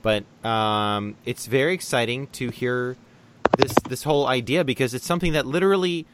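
A man's voice: mid-range voice, normal speed, very noisy. A man hosting a casual online radio interview, chatty and enthusiastic, with plenty of fillers. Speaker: podcast host. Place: lives in Taiwan.

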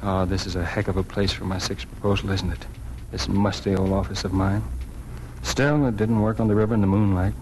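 An actor playing an amiable, wry small-town newspaper editor in a 1940s radio drama. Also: male voice, deep voice, flat delivery, brisk, a little mellow. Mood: wry, courting. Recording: noisy old 1940s radio recording.

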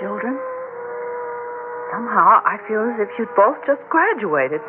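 An American actress playing an energetic, sentimental middle-aged mother, earnest and expressive, in a 1960s radio drama. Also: mid-range voice, unhurried, background noise. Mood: tenderly moved. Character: energetic, sentimental, house-proud mother and wife. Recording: dull vintage 1960s radio recording.

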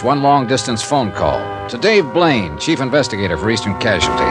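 Guy doing wry american radio detective from the 50s, deadpan but swingy pitch, music all over it. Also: close to an everyday voice. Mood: brisk, businesslike. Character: wry, businesslike male insurance investigator, hard-boiled and guarded. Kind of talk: 1950s radio detective acting and first-person narration. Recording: 1950s radio recording with music behind.

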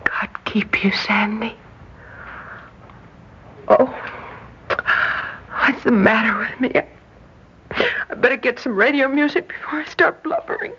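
Earnest, breathy young woman. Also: fairly high pitch, measured pace, pauses often, a bit bright, exaggerated voice. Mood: grieving resolve. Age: young adult. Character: anxious, prescient young American woman, tender and resolute. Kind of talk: 1960s radio drama acting. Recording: muffled old radio recording.

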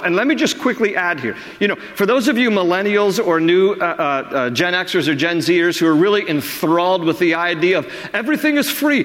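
A middle-aged male pastor preaching with emphatic force, his voice pitched somewhat high and leaping higher on stressed words. The sound rings with the long reverb of a large hall. He drives each point home. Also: pushed and bright. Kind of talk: sermon.

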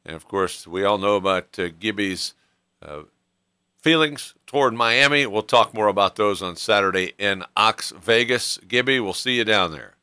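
Bright, clear, low-pitched male sports host. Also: expressive, normal speed, dry recording, studio quality. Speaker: sports radio show host. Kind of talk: conversational sports interview and commentary.

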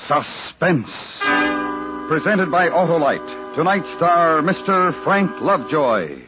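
Higher-voiced man announcing over music. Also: sing-song, takes it slowly. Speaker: program announcer. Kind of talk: formal 1950s radio announcing. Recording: dull 1950s radio recording. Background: orchestral music.

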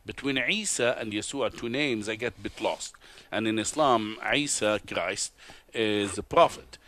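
A man from Tunisia with an Arabic accent, speaking low and earnestly as an interview guest. He halts and restarts often as he tells his story. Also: fairly bright tone. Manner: earnest, halting, many uhs and restarts. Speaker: guest, TV host and author. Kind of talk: interview testimony. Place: convention broadcast booth.

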